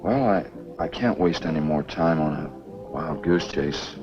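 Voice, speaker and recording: An actor playing a sober, skeptical American investigator in a 1950s B-movie, speaking in a serious, measured way. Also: male voice, rumbling low. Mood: weary, doubtful. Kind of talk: 1950s B-movie film acting. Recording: dull 1950s film soundtrack with music.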